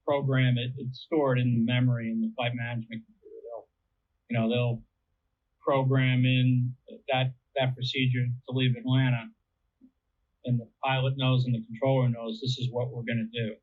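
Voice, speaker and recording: Over a narrow, phone-like connection, a man talks as an interview guest. He explains things casually and conversationally, with an average-pitched voice. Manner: conversational, explains with everyday analogies. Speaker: interviewee explaining air traffic control. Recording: tinny narrowband phone-like line.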